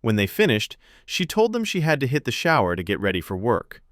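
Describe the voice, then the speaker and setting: A man's voice: mid-range voice, huge pitch swings, brisk, fairly bright tone, no room sound, studio quality. A man reading scripted fiction narration as a storyteller.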